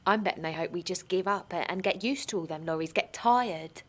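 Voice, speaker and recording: A woman's voice: fairly low pitch, fairly quick, close to an everyday voice. A woman playing an earnest small-town mum turned protester in an audio drama, chatty and unpolished. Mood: frustrated. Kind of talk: naturalistic audio drama, dialogue and narration.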